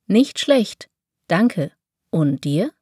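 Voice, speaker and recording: Woman reading language drills real slow, dry close sound, lines spaced out. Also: medium pitch, big pitch swings, dark tone, slightly rough, dry recording. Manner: reads every part of the dialogues herself, pausing between phrases. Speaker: German reader for a language lesson.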